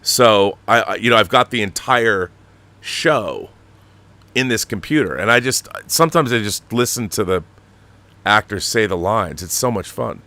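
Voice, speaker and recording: A man's voice: on the low side, normal speed, sharp tone, dry recording. A man hosting a casual livestream chat, talking in an effusive, enthusiastic way about his projects. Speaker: show host and producer.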